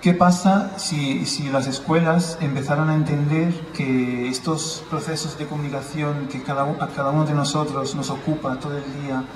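A man's voice: on the high side, steady tone, normal speed, hardly any pauses. A man from Italy giving an informal public talk outdoors, explaining ideas and posing rhetorical questions. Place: outdoors at a building entrance on a city square. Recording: roomy, distant, noisy, church bells.

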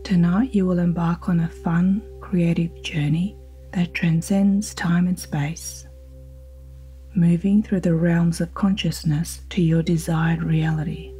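A woman guiding a sleep hypnosis in a slow, soothing, soft-spoken manner with breathy phrase endings. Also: lowish voice, little pitch movement. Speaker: hypnosis guide. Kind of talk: guided sleep hypnosis narration. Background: ambient music with singing bowl tones.

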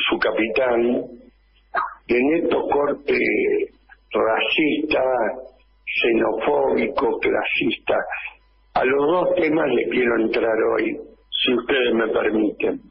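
An older man with an Argentine accent giving an impassioned political editorial on the radio, speaking slowly into a close studio microphone and hammering rhetorical questions. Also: medium pitch, gravelly, lo-fi sound, slight background noise. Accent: Argentine Spanish. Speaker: radio commentator. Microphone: studio microphone close up, wearing headphones.

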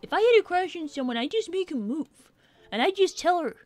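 A woman's voice: very high-pitched, very animated, a little fast, dry recording. A woman voicing a young, brainy cartoon fox boy who chats in a sassy, self-assured way as a gaming livestream host. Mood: defensive, assured. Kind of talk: in-character gaming livestream chat. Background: game music.

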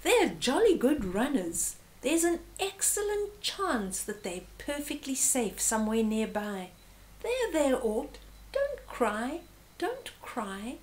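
A woman voicing a kindly male bird in a children's story, reassuring and helpful, with a brisk, jolly manner. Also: on the high side, very animated, a little mellow, low-quality recording. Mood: soothing.

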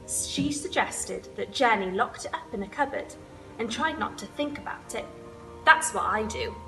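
A young woman reads a children's story aloud with expression. Her pace is slow and clear in its phrasing, her pitch a little high, and faint music plays behind her. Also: poor audio. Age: young adult. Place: indoors, room with wood-panelled wall and sofa.

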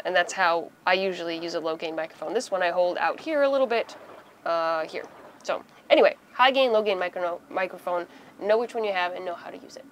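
A woman in her thirties giving a casual, conversational how-to explanation inside a small boat cabin, using everyday examples. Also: mid-range voice. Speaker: host of a sailing how-to video.

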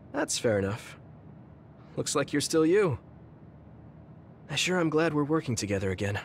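An earnest young soldier. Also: male voice, fairly high pitch, very animated, a little slow, slight background noise. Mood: warm. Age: young adult. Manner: gentle, sincere, thoughtful. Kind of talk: video game dub acting. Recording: game audio with music behind.

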